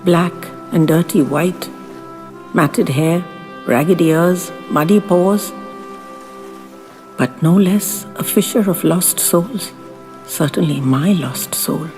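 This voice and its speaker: A woman narrating a first-person story in a dramatic audiobook style, with theatrical, deliberate, literary phrasing. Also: lowish voice, a little mellow. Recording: long reverb with faint music behind.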